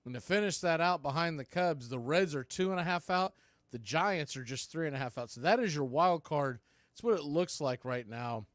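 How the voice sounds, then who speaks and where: Higher-voiced man calling baseball play-by-play. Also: moderate pace, no echo, studio quality. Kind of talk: live sports commentary with score updates.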